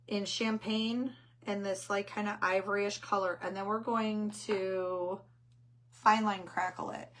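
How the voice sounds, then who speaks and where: An older woman hosting a craft livestream, chatty and rambling, reading viewer comments aloud while painting; her voice is hoarse and squeaky from losing it. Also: pushed and bright.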